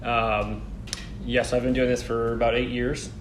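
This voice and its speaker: Man born in Poland, mid-pitch voice, chatty and animated, noisy echoey room. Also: measured pace. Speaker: conducting student. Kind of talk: casual interview answers. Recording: roomy with background noise.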